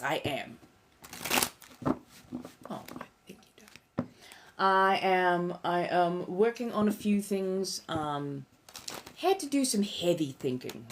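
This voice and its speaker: A woman acts out an ancient vampire empress and tarot witch in a lowish female voice. She speaks in an affected posh British accent, coy and theatrical, lingering over her endearments as she chats with viewers on a livestream. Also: slight background noise. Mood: conversational. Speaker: tarot reader hosting a live stream. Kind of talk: in-character livestream chat.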